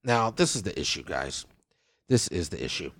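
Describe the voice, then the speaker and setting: A man's voice: sits low, sing-song, moderate pace, no echo, studio quality. A man hosting a comedy podcast, ranting theatrically and peppering his talk with "okay?" and "I digress.". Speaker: podcast host. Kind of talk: casual comedic podcast banter.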